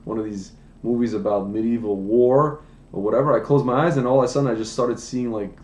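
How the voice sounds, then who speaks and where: A man's voice: mid-range voice, steady tone, brisk, darkish tone, reverberant. A man in his late thirties, telling his life story in a casual, rambling podcast chat, with many restarts and asides. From United States. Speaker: wine business founder, podcast guest. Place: indoor room, livestream podcast.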